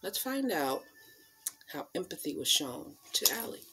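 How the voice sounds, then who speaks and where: A woman in her thirties reading aloud to children as a storyteller, with deliberate, dramatic emphasis on key words. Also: sits low, sing-song, over music. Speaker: storyteller reading a picture book. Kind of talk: expressive read-aloud for children. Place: small living room, indoors.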